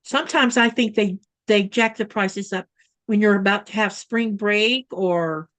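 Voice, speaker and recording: A woman's voice: medium pitch, unhurried, fairly bright tone. A woman from Florida co-hosting a casual sewing livestream over a video call, chatting and reading out viewer comments. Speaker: livestream co-host. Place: home in Greenland.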